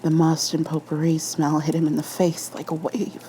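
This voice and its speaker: A woman's voice: low-pitched, completely flat delivery, moderate pace, mellow, slight background noise. A woman reading a scripted horror story as an ASMR narrator.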